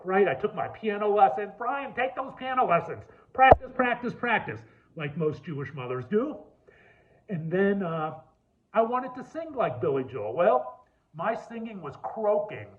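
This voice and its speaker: A man with a deep voice giving an earnest personal talk, telling his story and repeating points for emphasis. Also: slight background noise. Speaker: speaker on lawyer wellbeing. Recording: tinny phone-like line.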